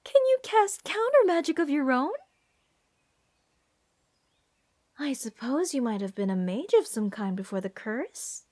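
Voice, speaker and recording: A woman's voice: high-pitched, sing-song, normal speed, a little mellow. A woman playing a giddy, unhinged mage who gushes over cursed monsters in a fantasy ASMR roleplay. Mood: thrilled, infatuated. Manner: breathy gasps, gushing, rambling, sweetly menacing.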